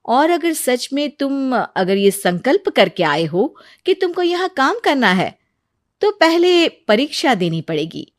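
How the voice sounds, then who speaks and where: A woman voicing a composed, gracious queen with a regal, measured manner in a storybook tale. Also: sits high, very animated, no echo, clean studio sound, voice pushed up. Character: composed, gracious queen testing young petitioners.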